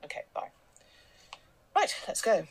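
An actress in a radio drama playing a brisk, commanding tech company founder in her thirties, clipped and impatient. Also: crowd noise, close to an everyday voice. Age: 30s. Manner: clipped, commanding, always on the phone. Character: brisk powerful female tech CEO, impatient. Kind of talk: BBC radio drama acting.

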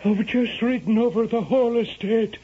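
An anxious overseer in an old radio drama. His voice is extremely high and husky, hesitant and deferential to his master. The sound is dull. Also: moderate pace. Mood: distressed. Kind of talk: 1970s radio drama acting. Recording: dull vintage radio recording.

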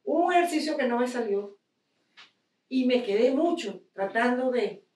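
A woman's voice: a little high, expressive, moderate pace, a little mellow. A Venezuelan woman in the audience asking a question, hesitant and full of restarts. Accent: Venezuelan Spanish. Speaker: audience member. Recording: distant voice in an echoey hall.

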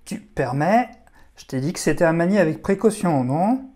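A man's voice: on the high side, expressive, normal speed, warm tone. A man playing a serious, exasperated brother in an audio drama, stern and reproachful about his equipment. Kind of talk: audio fiction acting.

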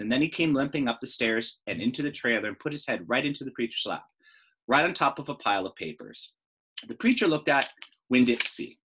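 Husky American man, lively read-aloud. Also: medium pitch, low-quality recording. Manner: casual explanatory asides, expressive reading. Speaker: teacher reading a book aloud. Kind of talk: read-aloud storytelling with informal lesson asides.

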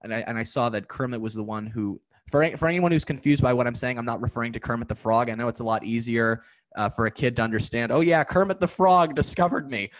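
A man's voice: medium pitch, fast. Young man hosting a nostalgia podcast. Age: young adult. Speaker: podcast host. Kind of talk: casual interview chat.

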